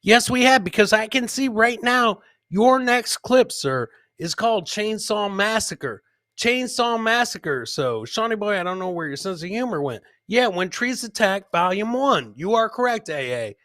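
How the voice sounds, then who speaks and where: A man's voice: high-pitched, animated, a little slow, pushed and bright, no echo, clean studio sound. A man hosting a live comedy clip show, bantering casually and jokily with his co-host and chat. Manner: jokey, addresses co-host and live chat by nickname.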